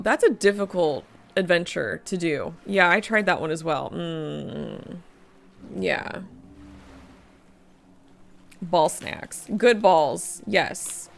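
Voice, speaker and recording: A woman's voice: lowish voice, creaky. A tired, slightly sniffly woman streaming a game, chatting casually, reading chat aloud and laughing often. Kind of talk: casual gaming livestream chat.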